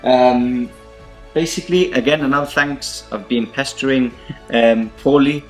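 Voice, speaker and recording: A man's voice: medium pitch, fairly even intonation, unhurried, soft background music, rough recording. A man whose first language is Spanish, hosting a tabletop wargame show with casual, unscripted rundowns. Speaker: host of a tabletop wargame battle report show.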